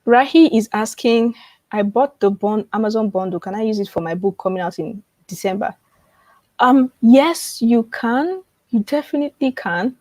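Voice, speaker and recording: A woman hosts an informal live online class in a medium-pitched voice. She repeats key phrases and checks in with viewers often. The sound is close and dry, with little room echo. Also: a little mellow. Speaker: online publishing coach hosting a live webinar. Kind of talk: informal live teaching and promotion, answering viewer questions.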